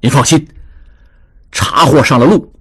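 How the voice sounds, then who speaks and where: A man's voice: mid-range voice, measured pace, breathy edge. A man voicing an earnest, grateful middle-aged shopkeeper.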